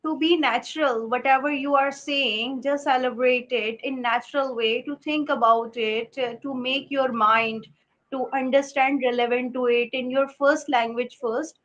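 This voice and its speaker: A woman's voice: high-pitched, fairly even intonation, a little slow, a bit bright, dull audio. A woman, hosting a live stream interview over a video call, talking hesitantly with many fillers. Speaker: host.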